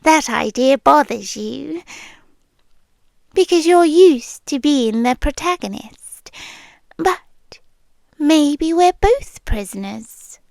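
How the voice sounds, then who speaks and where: A possessive British yandere girlfriend played by a woman speaks in a high, soft, often whispery voice, right up close and very dry. She takes her time with deliberate pauses, sounding tender and quietly menacing, as in an intimate ASMR roleplay. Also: lively intonation, vocal fry, no echo, full character voice. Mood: teasing, possessive. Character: possessive yandere girlfriend, unseen voice in listener's head. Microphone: close mic, dry.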